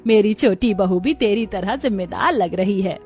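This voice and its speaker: A woman's voice: medium pitch, moderate pace, a little mellow, faint music behind, close to an everyday voice. Kindly village mother-in-law acted by a woman. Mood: approving. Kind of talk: scripted cartoon dialogue.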